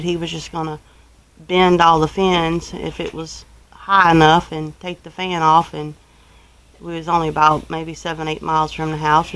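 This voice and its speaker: A woman with a Southern US accent telling a story casually, in a folksy, unhurried, colloquial way, as a homestead vlogger. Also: on the low side, fairly bright tone, low-quality recording. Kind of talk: casual first-person storytelling.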